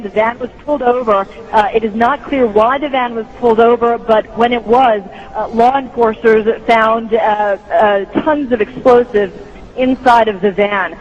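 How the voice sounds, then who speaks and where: A woman news correspondent giving a live breaking news report in a careful, halting delivery. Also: a little high. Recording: dull lo-fi broadcast, faint noise.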